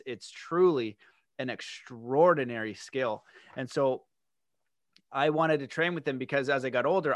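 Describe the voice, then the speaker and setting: A man's voice: mid-range voice, fairly bright tone, no echo, clean studio sound. A man from Canada, a guest on an interview podcast, telling his story in a friendly way with frequent "you know"s. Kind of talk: interview conversation.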